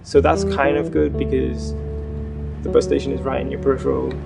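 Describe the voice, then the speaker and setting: A man's voice: mid-range voice, sing-song, a little fast, gravelly, over loud music. A man who works as a video game artist, explaining design choices in a casual, conversational interview manner. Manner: informal, conversational.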